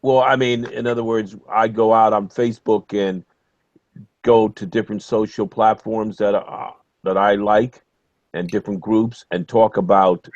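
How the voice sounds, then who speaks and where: A man with a very low voice explains himself at length, hesitant and full of fillers. The call audio is dry, close and dull. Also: steady tone, unhurried, a little mellow, no echo. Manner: rambling, hesitant, many fillers. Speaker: webinar participant. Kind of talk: casual call-in chat.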